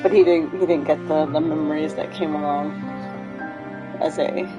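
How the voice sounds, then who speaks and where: A woman streaming a game live, explaining the story in a halting way as she thinks aloud. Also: low voice, steady tone, muffled recording. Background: game music.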